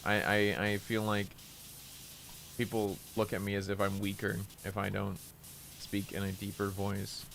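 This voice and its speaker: A young man streaming who chats casually about himself, hesitant and rambling with many fillers, deliberately lowering his voice. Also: completely flat delivery, creaky, fairly bright tone, soft background music. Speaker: VTuber streamer. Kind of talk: casual stream chat while gaming.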